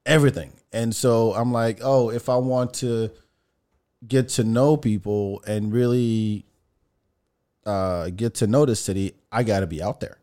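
Middle-aged man, French native, guest on a casual talk podcast, lively storyteller. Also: fairly low pitch, measured pace. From France. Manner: animated, restarts phrases, laughs easily.

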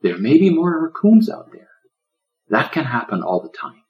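A man voicing a gentle old lion in a storybook, solemn and loving like a shepherd, with a preaching delivery. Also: medium pitch, big pitch swings, normal speed, highs cut off, pitched above the performer's own voice, close to an everyday voice. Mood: tender, protective.

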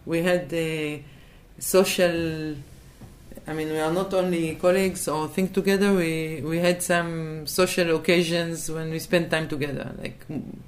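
A woman's voice: low voice, steady tone, unhurried, a little hiss or hum. A woman from Israel, answering in a thoughtful interview and hesitating and restarting as she looks for words. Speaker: interview guest.

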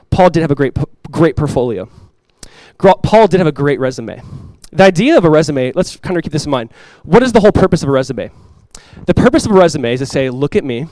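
A man's voice: a little high, darkish tone, no echo, low-quality recording. Earnest man preaching in a dry close voice. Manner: earnest, conversational preaching with rhetorical questions. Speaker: pastor. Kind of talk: expository sermon.

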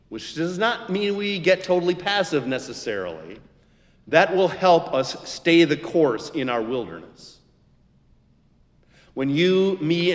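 Slow-paced male preacher in a reverberant hall. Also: on the high side, highs cut off. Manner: deliberate, emphatic phrasing with pauses. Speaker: pastor. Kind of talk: sermon.

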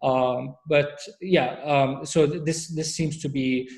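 Even-toned man explaining research. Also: a little high. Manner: measured, with frequent fillers and restarts. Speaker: researcher guest. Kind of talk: academic interview answers.

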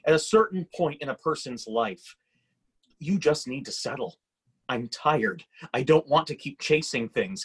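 Husky, resentful grown son, played by a man. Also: a little high. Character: resentful, weary grown son confronting his absent father. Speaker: actor in a screenplay reading. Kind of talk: dramatic table-read dialogue and narration.

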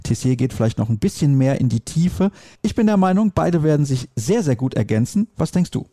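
A man's voice: on the high side, very animated, fairly quick, warm tone, dry recording. A man hosting a conversational sports discussion, stating his opinions and readily correcting himself. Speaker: sports talk host.

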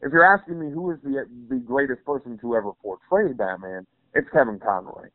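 A man's voice: mid-range voice, sing-song, brisk, dry recording. A man being interviewed by phone, casually ranting in an opinionated, emphatic, nerdy way. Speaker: interview guest. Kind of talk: casual comic rant about films. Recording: dull phone interview audio.

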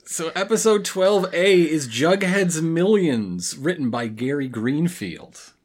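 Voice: medium pitch, expressive, slow. A podcast co-host chatting casually and comedically, with a wry, playful way of riffing. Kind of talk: casual comedic chat about a cartoon.

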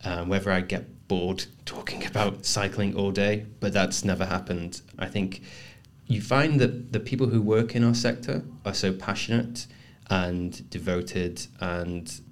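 Deep-voiced man. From north of England. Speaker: podcast guest, communications manager. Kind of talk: casual podcast interview.

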